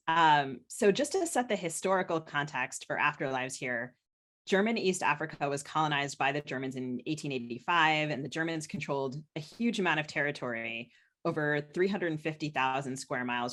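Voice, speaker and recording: A woman with a low-pitched voice hosts a literary interview podcast. She talks conversationally, moving between chat and framing questions. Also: a bit bright. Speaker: podcast host.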